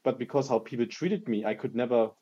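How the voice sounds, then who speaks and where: A man from Germany reflects on his experiences in a measured way, pausing often with fillers. His voice is mellow and warm. The recording sounds dull and lo-fi. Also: medium pitch. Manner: thoughtful, measured, frequent fillers. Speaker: podcast guest. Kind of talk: casual podcast conversation.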